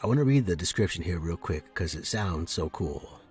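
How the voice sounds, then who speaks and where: A man's voice: deep voice, animated, moderate pace, darkish tone, soft background music. A man hosting a fiction podcast, chatting informally and reading aloud. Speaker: podcast host and story reader. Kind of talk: casual podcast intro and dramatic story narration.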